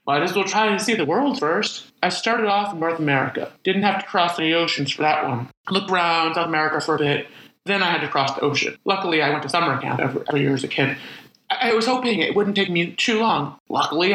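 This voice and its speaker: Male lone survivor, weary and reflective, higher-pitched bright voice, halting conversational monologue, radio drama. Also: reverberant, rough recording. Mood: resigned, reminiscing. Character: lonely, weary, reflective male survivor wandering after disaster. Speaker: radio play actor. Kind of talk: dramatic monologue, spoken like an audio diary.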